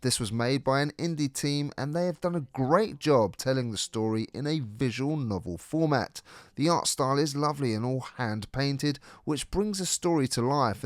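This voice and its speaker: A man's voice: medium pitch, no room sound. A man presenting a scripted video game review as narrator.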